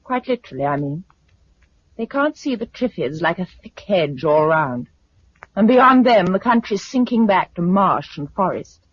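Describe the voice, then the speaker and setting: A woman's voice: fairly low pitch, husky, exaggerated voice. A woman with a British accent playing a resolute, wistful farm wife in a 1950s radio drama. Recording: muffled 1950s radio recording.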